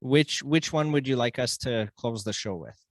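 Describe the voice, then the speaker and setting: A man's voice: mid-range voice, moderate pace, dry recording. A man hosting a podcast interview in an informal, laid-back way with casual slang. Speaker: podcast host.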